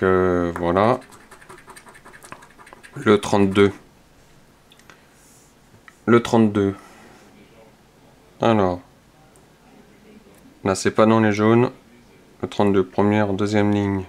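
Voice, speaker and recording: A man hosts a scratch-card video, calmly checking numbers one by one and muttering softly as he scratches. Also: on the low side, gravelly. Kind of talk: casual play-by-play while scratching tickets.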